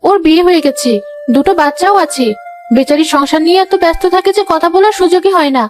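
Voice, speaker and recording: A woman's voice: very high-pitched, quick pace. A woman voicing a sweet, polite young daughter-in-law in a comedy cartoon, innocent in manner. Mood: cheerful, polite. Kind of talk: animated comedy story acting. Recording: loud music behind the voice. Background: music.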